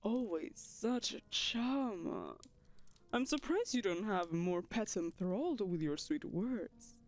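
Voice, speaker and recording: A woman's voice: medium pitch, lively intonation, takes it slowly, no room sound. A woman playing an ancient vampire mistress in an audio roleplay, syrupy, possessive, condescending and theatrical. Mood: coy, condescending. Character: ancient female vampire mistress, saccharine, possessive, callously vain.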